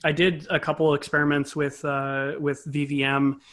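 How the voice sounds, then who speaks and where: A man's voice: a little high, little pitch movement, normal speed, fairly bright tone. A man speaking as an interview guest, a composer and conductor explaining things conversationally in a thoughtful, self-correcting way.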